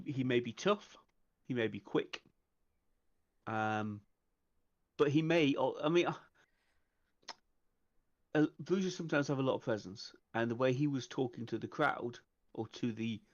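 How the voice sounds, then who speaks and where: A man playing a tabletop roleplaying game, talking tactics casually with the group, with slightly muffled sound. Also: medium pitch.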